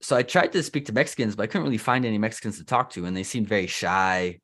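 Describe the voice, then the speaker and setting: A young man with a Canadian accent chats his way through an interview as host. His voice sits a little low. He talks loosely, restarting sentences and leaning on "like". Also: quick pace, a bit bright. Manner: chatty, loose, heavy use of "like" and restarts. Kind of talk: casual interview chat.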